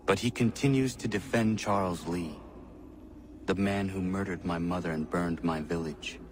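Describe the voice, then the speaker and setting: Deep-voiced, solemn young warrior. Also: male voice, noisy background. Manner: formal, deliberate phrasing without contractions. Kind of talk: dramatic game cutscene acting and narration.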